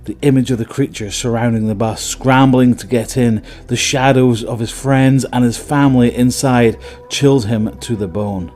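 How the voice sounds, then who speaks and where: A man's voice: medium pitch, fairly even intonation, normal speed, few pauses, a little mellow, faint music behind. A man narrating a scripted dramatic story as the narrator of an audio drama.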